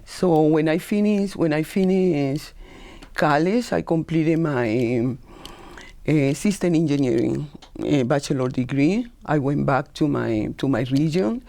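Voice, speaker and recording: Gravelly Colombian-accented woman guest. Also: low voice, a little slow, dark tone. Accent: Colombian Spanish. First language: Spanish. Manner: earnest, repeats words as she searches for phrasing. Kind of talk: talk show interview.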